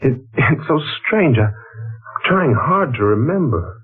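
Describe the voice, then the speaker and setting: An actor playing a hesitant, haunted man with a husky voice and measured pauses, in 1940s radio style. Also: fairly high pitch, very animated, heavily acted. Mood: troubled, wondering. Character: hesitant, haunted male party guest, troubled by memory. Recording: tinny, narrow-band old radio recording.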